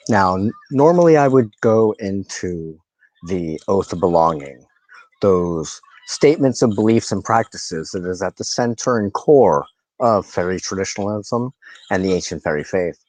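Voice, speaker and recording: A man hosting a spiritual show, teaching informally to camera in halting, deliberate phrases with emphatic repeats. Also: low voice, expressive, a little mellow, no room sound, low-quality recording.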